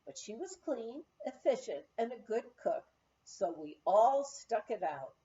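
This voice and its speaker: A woman narrating a written memoir as an audiobook reader, with expressive storytelling. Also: fairly high pitch, measured pace, highs cut off.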